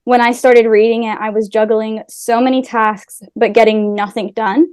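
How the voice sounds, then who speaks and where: A woman's voice: a little high, level tone, normal speed, a little mellow, smooth, dry recording. A woman with an American accent, raised in America after an early childhood in Wales, talking earnestly as a podcast guest. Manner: earnest, with small restarts. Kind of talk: casual conversation. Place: Israel.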